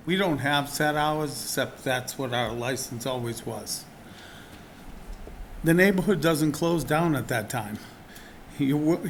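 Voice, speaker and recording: A man's voice: on the high side, a little hiss or hum. A man, a business owner, answering questions at a town board meeting from the podium microphone, earnest and a little defensive in a conversational way. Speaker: business owner applying for a permit.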